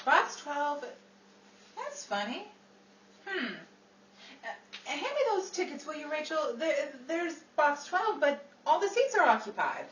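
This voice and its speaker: A woman playing an indignant, excitable actress and fast-talking schemer in a theatrical 1940s-style radio comedy. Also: sits high, exaggerated voice. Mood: breezy. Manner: theatrical, exclaiming. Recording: noisy distant echoey recording, loud crowd ambience.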